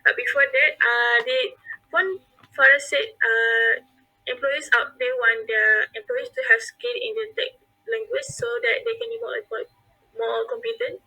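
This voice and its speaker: A woman's voice: sits high, lively intonation, a little slow, sharp tone, low-quality recording. A young Malaysian woman presenting prepared points as a forum panelist, with hesitant fillers and restarts.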